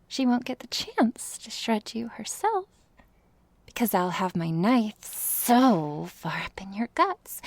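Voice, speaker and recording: A woman playing a jealous, menacing vampire in an ASMR roleplay, sarcastic and taunting, often dropping into breathy hisses. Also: medium pitch, no echo, exaggerated voice. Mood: threatening.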